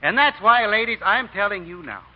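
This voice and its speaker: An actor playing a folksy, smooth-talking deacon who is a sly card sharp, in vintage radio style with preachy delivery. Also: male voice, high-pitched, animated, normal speed. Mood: rousing, preachy. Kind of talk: 1930s-40s radio acting. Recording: muffled old radio broadcast.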